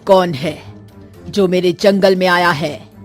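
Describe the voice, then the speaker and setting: Cartoon villainess played by a woman: haughty forest sorceress, low-pitched, slow, taunting threats, music underneath. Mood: menacing.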